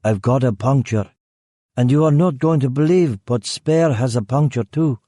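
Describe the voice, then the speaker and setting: A man's voice: mid-range voice, moderate pace, warm tone, no room sound, studio quality, pitched above the performer's own voice, close to an everyday voice. A man voicing a hitman who poses as a lost foreign driver, with a put-on Eastern European accent and broken English. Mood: feigned helpless. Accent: fake Eastern European. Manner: broken English, feigning bewilderment. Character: killer faking clueless foreign stranded driver, male.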